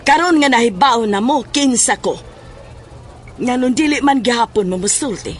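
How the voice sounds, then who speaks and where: A woman playing a stern, probing questioner in a horror audio drama, speaking deliberately and insistently. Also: on the high side, expressive, a little hiss or hum, natural voice. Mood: menacing, insistent. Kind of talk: Filipino audio drama acting.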